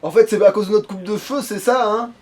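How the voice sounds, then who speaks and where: A man's voice: high voice, fast, darkish tone. A man chatting casually through a video game as let's play host, slangy and sweary, grumbling as he goes. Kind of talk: casual gaming chat.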